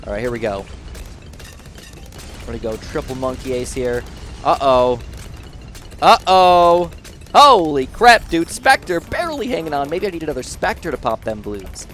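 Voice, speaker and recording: A man's voice: mid-range voice, moderate pace, long pauses, a little hiss or hum. Nasal young man narrating gameplay. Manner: rambling, excitable, thinks aloud. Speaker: gaming channel host. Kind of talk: casual gameplay commentary.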